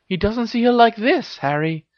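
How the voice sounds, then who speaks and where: A man voicing a clever, knowing teenage witch in a story reading, explaining carefully with gentle chiding. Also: high-pitched, big pitch swings, moderate pace, no echo, muffled recording, voice pushed up.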